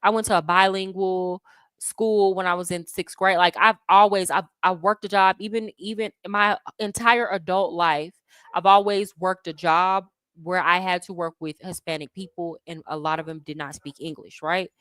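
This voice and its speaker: A woman's voice: fairly low pitch, normal speed, clean tone, no echo. Chatty slangy American woman streaming. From United States. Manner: chatty and slangy, animated asides. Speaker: livestream host answering chat. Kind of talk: casual livestream chat. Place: home abroad.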